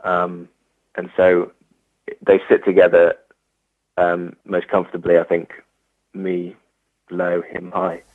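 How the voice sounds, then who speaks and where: Low hesitant English man, tinny sound. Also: completely flat delivery, slow pace, pauses often, no echo. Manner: hesitant, many fillers and restarts. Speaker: musician guest. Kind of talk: casual interview.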